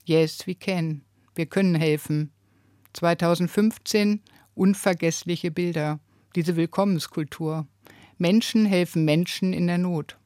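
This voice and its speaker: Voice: mid-range voice, steady tone, unhurried, darkish tone, no room sound, studio quality. A radio preacher delivering a scripted devotional in an earnest, emphatic way, with rhetorical questions and short phrases.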